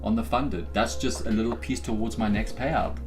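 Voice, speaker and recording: Excitable South African streamer chatting to viewers. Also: male voice, moderate pace, over music. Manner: hypes himself and the chat, then drops into low joking asides. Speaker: day-trading livestream host. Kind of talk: casual live-stream chat.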